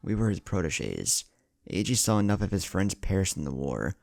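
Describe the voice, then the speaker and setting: Man reading as a clipped, low-voiced ninja woman. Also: big pitch swings, moderate pace, gravelly, a little mellow, no echo, studio quality, full character voice. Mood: quiet, sombre. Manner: clipped, clinical. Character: stern, pragmatic young female rogue ninja.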